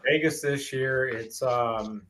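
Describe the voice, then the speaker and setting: A man with a bright, crisp-edged voice of medium pitch chats casually on a sports panel. There is faint noise with some clicking behind him. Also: slow pace.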